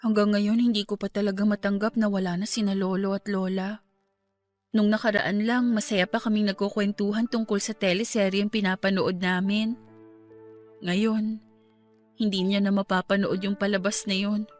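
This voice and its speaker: Polite, bereaved young niece played by an actress. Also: female voice, mid-range voice, flat delivery, normal speed, lightly acted. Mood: sad, worried. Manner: polite, deferential to her elder. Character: bereaved young niece, a student, respectful and caring. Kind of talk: Tagalog radio drama acting, naturalistic dialogue. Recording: music bed under the voice. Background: background music.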